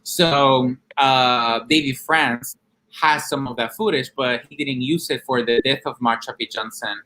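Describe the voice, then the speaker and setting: A man's voice: mid-range voice, unhurried, pushed and bright, slight background noise, poor audio. A man from Rico, answering earnestly as a documentary director and activist in an interview. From Puerto Rico.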